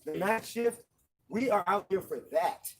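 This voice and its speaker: A man's voice: sits high, expressive, unhurried, a bit bright, slight background noise. Fired-up man at a night street protest. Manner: profane, emphatic, repeats "period". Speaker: protest marcher. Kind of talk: impassioned street speech.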